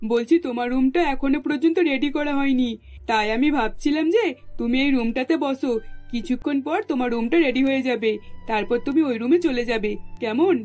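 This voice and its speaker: A woman's voice: high voice, fairly quick, a little mellow, faint music behind, lightly acted. A woman voicing a welcoming middle-aged mother-in-law in a cartoon, chatty and polite.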